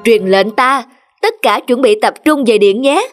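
A woman voicing an imperious, haughty king in an animated folk tale, pompous and commanding. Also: on the high side, measured pace, lightly acted. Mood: irritated, commanding. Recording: music under the voice.

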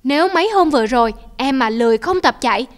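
A woman's voice: sits high, lively intonation, quick pace. A woman voicing a diligent, protective older brother antelope who lectures earnestly in a cartoon. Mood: admonishing. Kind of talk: cartoon voice acting. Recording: loud music under the voice.

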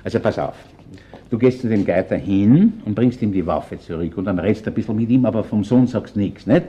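An actor playing a gruff, shrewd middle-aged Viennese chief inspector in a radio crime drama, speaking bluntly and colloquially. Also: male voice, on the low side, a little fast, mellow, lo-fi sound, close to an everyday voice. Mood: brisk, commanding. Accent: Viennese Austrian. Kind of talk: German-language radio crime drama acting.

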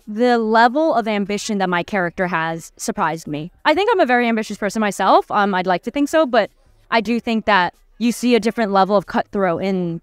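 A young woman in her twenties talks into an interviewer's handheld mic at a premiere. Her voice is smooth and clear, the sound dry and close. She muses through her answers with fillers and restarts. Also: mid-range voice, dry recording. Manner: thoughtful, many fillers and restarts. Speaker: actor interviewed on a red carpet. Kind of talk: casual red-carpet interview. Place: busy premiere red carpet, indoors. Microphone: handheld mic held by interviewer.

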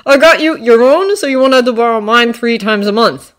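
Casting: woman as friendly teen best friend, a boy, high voice, chatty and cheerful, story dialogue. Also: brisk, lightly acted. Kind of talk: acted audiobook-style story dialogue.

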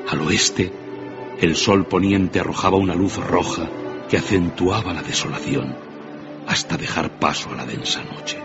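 Male narrator for dramatic storytelling: low voice, muffled recording, musical backing. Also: measured pace, gravelly, over loud music.

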